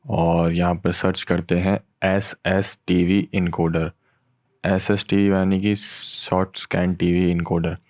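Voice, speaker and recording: A man's voice: low voice, a little mellow, no echo. A man explaining technology in a casual, informal tutorial style, mixing in English technical terms. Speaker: tech tutorial host.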